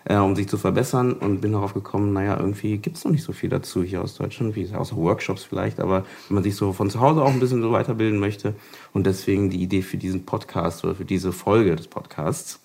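A man's voice: on the low side, fairly even intonation, fast, creaky, a little mellow, slightly rough. A man hosting a podcast roundtable, introducing guests in a casual, hesitant way with many fillers. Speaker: podcast host.

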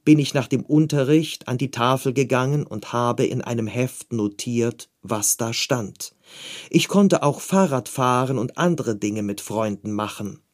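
A man reading a magazine article aloud in a calm, measured way. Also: medium pitch.

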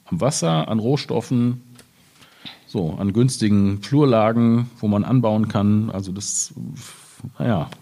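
Hesitant man with a low, husky voice. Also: a little slow, warm tone. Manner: hesitant, reflective, searching for words. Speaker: poet in discussion. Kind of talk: spontaneous literary conversation.

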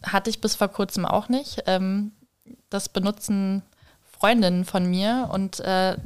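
A woman's voice: medium pitch, fairly even intonation, a little slow, a little mellow, clean tone. A woman talking informally as a guest on a podcast. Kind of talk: casual chat.

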